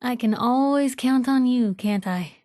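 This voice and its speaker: A woman's voice: on the high side, measured pace, warm tone, no echo, studio quality, natural voice. A woman playing a spirited, sardonic young reporter in a post-apocalyptic video game. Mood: warm, upbeat. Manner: sardonic, teasing, plucky. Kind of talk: video game companion dialogue.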